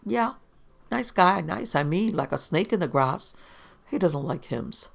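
A man's voice: sits high, expressive, measured pace, gravelly, fairly bright tone, full character voice. Man putting on a tipsy wisecracking newspaper reporter. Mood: flippant, tipsy. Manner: slack, joking, mock-innocent drunken banter. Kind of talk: pulp detective audiobook dialogue.

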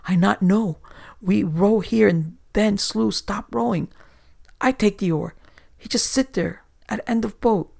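A man's voice: high-pitched, moderate pace, creaky, pauses often, darkish tone. A man voicing a terrified swarthy male sailor who stammers in broken English. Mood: whimpering. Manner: panicked, stammering, whimpering.

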